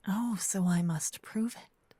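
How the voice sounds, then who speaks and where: A woman voicing a gentle, possessive, seductive female vampire lover in a breathy, intimate whispered ASMR roleplay, close to a binaural microphone. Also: mid-range voice, no echo. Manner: slow, intimate, lingering delivery with solemn romantic phrasing. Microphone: close binaural microphone, ear to ear.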